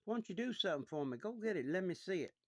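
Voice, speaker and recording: A man's voice: high-pitched, very animated, racing, dry recording, pitched above the performer's own voice, heavily acted. A man voicing a kindly father who coaxes his young son, in an easygoing, persuasive way, with a dry sound. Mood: coaxing.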